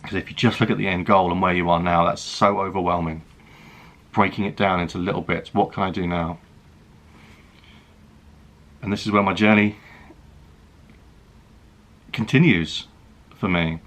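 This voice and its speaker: Deep-voiced British man in his thirties. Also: fairly even intonation, fairly bright tone. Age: 30s. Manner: reflective, halting, with many restarts and "you know". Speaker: food and travel vlogger. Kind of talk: earnest personal talk to camera.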